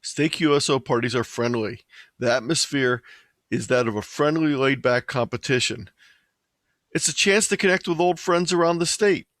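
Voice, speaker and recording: A man's voice: mid-range voice, fairly bright tone, dry recording. Man reading a presentation, very dry sound. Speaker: presenter of an amateur radio slideshow. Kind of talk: scripted presentation narration, read aloud.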